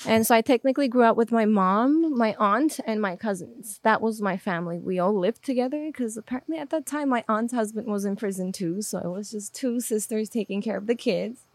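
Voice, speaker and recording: A woman's voice: on the high side, brisk, few pauses, no room sound. A woman from Armenia, a guest in a casual interview, telling her life story openly and reflectively. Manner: open, reflective storytelling with fillers. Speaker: guest, video director.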